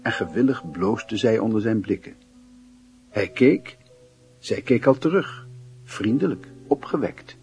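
An actor as a reflective narrator, reading literary narration for a Dutch radio play in a husky voice. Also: male voice, fairly low pitch, expressive, a little slow, long pauses, a little mellow, soft background music.

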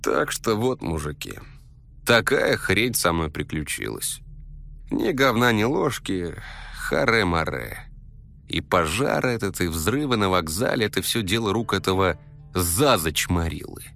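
A man's voice: low voice, big pitch swings, unhurried, creaky, a bit bright, soft background music. A man narrating a comic first-person story in coarse, slangy street language.

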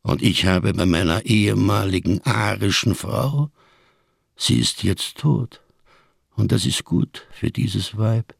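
A deep, gravelly giant of a man, a tattered survivor living in darkness. He declaims slowly with solemn, bitter irony, in the manner of a radio crime play. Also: dry recording, studio quality, exaggerated voice. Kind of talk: German radio crime drama acting.

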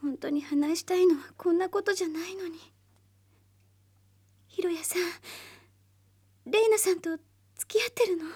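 A woman's voice: very high voice, moderate pace, long pauses, a little mellow, a little hiss or hum. A woman playing an earnest, anxious, polite young woman in a radio drama, with a hesitant, girlish way of speaking. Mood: wistful, hesitant. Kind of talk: Japanese radio drama acting.

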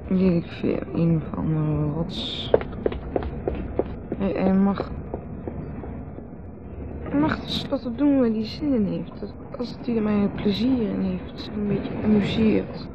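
An actress with a Netherlands Dutch accent playing a dreamy, teasing wife who rambles and repeats herself in a radio drama. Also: female voice, medium pitch, slow pace, long pauses, noisy background. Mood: rambling, evasive. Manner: rambling, repetitive, musing. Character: dreamy, rambling, teasing wife, drinking under a full moon. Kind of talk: 1970s radio drama acting. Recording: dull old radio recording with music.

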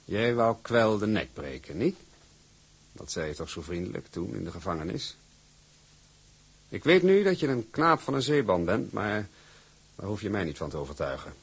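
An older male actor playing a shrewd, imperious company boss with a gravelly, husky voice and a deliberate, patronising manner in a drama. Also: fairly low pitch, big pitch swings, full character voice. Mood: stern, probing.

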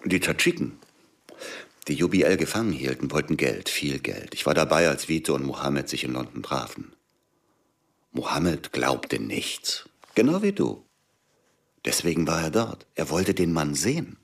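A man's voice: very deep voice, very animated, normal speed, exaggerated voice. An actor playing an agitated, suspicious man in a German radio drama, brooding in broken phrases and pressing rhetorical questions. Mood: intense, explaining. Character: agitated, suspicious man piecing together a cover-up.

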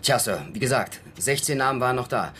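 An actor playing a frank young American army lieutenant in a radio drama, deferential and matter-of-fact. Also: male voice, mid-range voice, animated, fairly quick, fairly bright tone, slight background noise, natural voice. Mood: dutiful reporting. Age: young adult.

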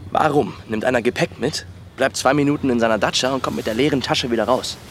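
An actor playing a brash, shifty young trucker in a German radio crime drama, talking brusquely in pushy slang. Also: male voice, mid-range voice, fast, a little hiss or hum, close to an everyday voice. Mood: insistent. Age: young adult.

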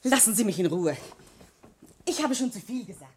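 An actress playing a guarded, snappish, frightened hotel chambermaid in a German radio crime drama. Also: female voice, mid-range voice, animated, moderate pace, husky. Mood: fearful. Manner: guarded, curt, defensive.

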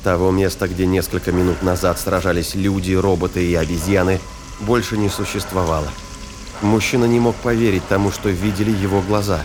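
A man's voice: low-pitched, flat delivery, brisk, background noise. A man narrating a science fiction audio drama in a scripted storytelling style. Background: ambience and sound effects.

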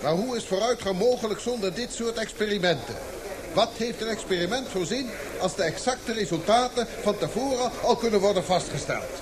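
A man's voice: high voice, a little fast, a crowd in the background, lightly acted. A man acting an eminent, impatient and dismissive scientist and expedition leader in a radio play, curt and formal.

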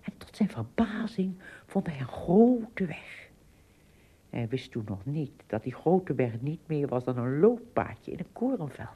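A woman narrating an adventure tale in a radio play, with expressive, dramatic phrasing and a husky, breathy voice. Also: low voice, unhurried, full character voice. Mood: suspenseful storytelling. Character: female storyteller of an adventure tale.

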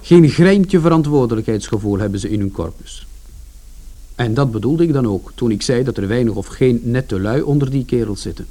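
A man's voice: mid-range voice, animated, moderate pace, mellow, lightly acted. An actor playing an indignant, long-winded man who explains his side of events as narrator of a radio play. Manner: aggrieved, explanatory, colloquial.

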